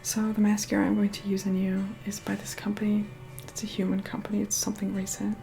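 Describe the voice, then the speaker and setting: A woman playing a reluctant, haughty vampire in a whispered ASMR roleplay, hushed, bossy and begrudging. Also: medium pitch, monotone, fast, vocal fry, faint music behind, lightly acted. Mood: hushed, instructing.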